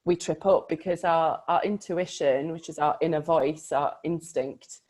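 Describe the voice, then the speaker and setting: A woman with a notably low voice talks about a book in a relaxed conversation. She is a coach, and she speaks reflectively, leaning on key words for emphasis. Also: a little slow. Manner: reflective, emphatic, often laughs.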